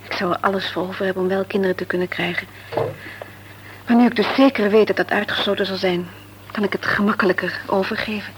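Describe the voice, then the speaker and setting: A woman's voice: medium pitch, steady tone, fast talker, background noise, lightly acted. An actress playing a sweet, unassuming young woman in an old Dutch radio play. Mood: resigned.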